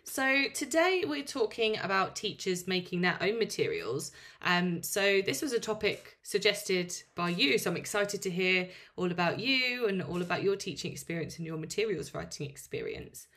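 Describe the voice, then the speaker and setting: A female podcast host with a fairly low voice leads the conversation. She asks her questions in a friendly, encouraging tone. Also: expressive, normal speed, few pauses, fairly bright tone. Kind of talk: podcast interview.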